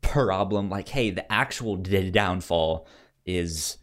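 A man co-hosting a casual film discussion, speaking haltingly and often repeating sounds and words as he goes. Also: deep voice, a bit bright. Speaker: film podcast co-host.

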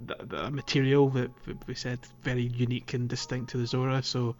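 A man's voice: mid-range voice, level tone, a little fast, dull audio, faint music behind. A man co-hosting a casual gaming podcast, talking in a loose, rambling way with lots of fillers and restarts. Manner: rambling, hesitant with many fillers. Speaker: podcast co-host.

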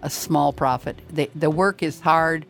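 A woman's voice: sits low, fairly even intonation, measured pace, soft background music. An older woman, a retired master gardener, chatting on the radio and giving advice with many restarts and emphatic asides. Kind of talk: casual radio chat.

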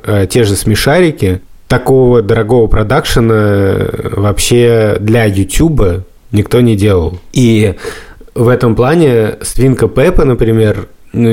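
A man's voice: lowish voice, mellow. A man hosting a podcast, talking casually and explaining things at length in a reflective way. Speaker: podcast host.

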